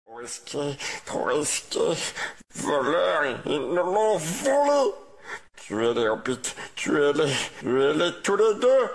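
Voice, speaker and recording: A man's voice: fairly high pitch, big pitch swings, slow pace, vocal fry, exaggerated voice. A man voicing a wretched, scheming creature that mutters to itself about its treasure in a film fan dub. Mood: paranoid, vengeful.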